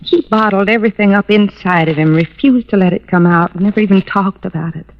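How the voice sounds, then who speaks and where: Actress for fragile young wife in vintage radio melodrama: sweet, earnest, hesitant, on a muffled old recording. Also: female voice, mid-range voice, a little fast, natural voice. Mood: sorrowful. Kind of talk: old-time radio drama acting.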